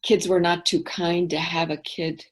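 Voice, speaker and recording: A middle-aged woman as an interview guest, speaking thoughtfully and candidly, often trailing off into quiet asides. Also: on the low side, level tone, a little slow. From England. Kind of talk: reflective interview talk.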